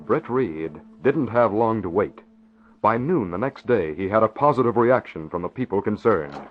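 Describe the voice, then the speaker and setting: Animated low-voiced male radio narrator. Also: moderate pace. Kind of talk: scripted old-time radio drama narration. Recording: muffled old radio with music. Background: music.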